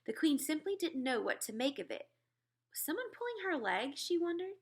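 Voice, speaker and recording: A woman narrating a children's storybook aloud in an expressive style. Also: sits high, moderate pace.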